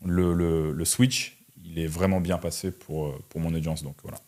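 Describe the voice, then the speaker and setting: Very deep-voiced young man, casual interviewee. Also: steady tone, normal speed, a little mellow. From Russia. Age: 20s. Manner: informal, slangy, frequent "tu vois" tags. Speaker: interview guest, entrepreneur and online content creator. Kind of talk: casual conversational interview answers.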